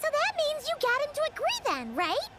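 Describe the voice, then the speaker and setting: A woman's voice: very high voice, wildly swooping pitch, fairly quick, very noisy, full character voice. A woman voicing a tiny, cheerful, chatty childlike fairy companion in a video game, exclaiming and speaking of herself in the third person. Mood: impressed. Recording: loud game music behind.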